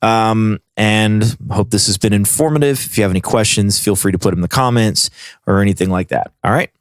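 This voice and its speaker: A man presenting a software tutorial in a casual, informal way, explaining things step by step. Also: on the low side, fairly quick, no echo, studio quality.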